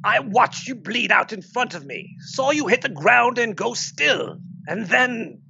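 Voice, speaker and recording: Man acting a creaky, dying British soldier, muffled noisy audio. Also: very high-pitched, sing-song, measured pace, sharp tone, heavily acted. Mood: urgent, accusing. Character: dying, bitter wounded British soldier, protective young father.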